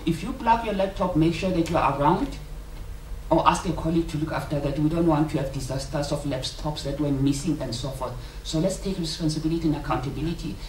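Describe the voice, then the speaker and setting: A South African woman with South Sotho as her mother tongue, making informal, jokey announcements to colleagues as a conference organiser. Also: low voice. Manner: jokey, colloquial, addressing colleagues. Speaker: conference organiser giving welcome and housekeeping announcements. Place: indoor conference venue, speaking to delegates. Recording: roomy, distant microphone, clear background noise.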